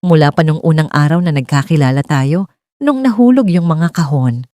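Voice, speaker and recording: An earnest male suitor in a romance story, acted by a woman. He speaks sincerely and gently, patient and persuasive, in a mellow, close dry recording. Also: low-pitched, expressive, moderate pace, no echo. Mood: earnest confessing. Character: earnest, patient man in love, a workplace supervisor.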